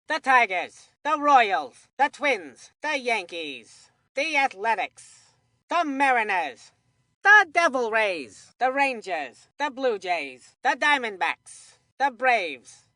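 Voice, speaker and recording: A woman voicing an excitable, folksy cartoon baseball commentator for a kids' sports video game. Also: high voice, no echo, full character voice. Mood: flat announcing.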